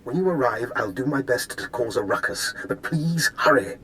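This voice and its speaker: An actor playing a resourceful, composed doctor and schemer in a video game, speaking in a measured, persuasive way. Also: male voice, mid-range voice, expressive, sharp tone, a little hiss or hum. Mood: hushed urgency. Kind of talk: video game cutscene acting.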